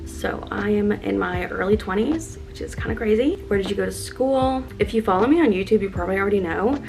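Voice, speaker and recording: A young woman in her twenties talks through a get-ready-with-me video, peppering every phrase with "like." Her voice has a gravelly fry, and clear music plays behind her. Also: medium pitch, fairly quick, a little mellow. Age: 20s. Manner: chatty, filler-heavy with constant "like"; upbeat and earnest. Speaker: lifestyle vlogger. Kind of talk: casual get-ready-with-me chat. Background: background music.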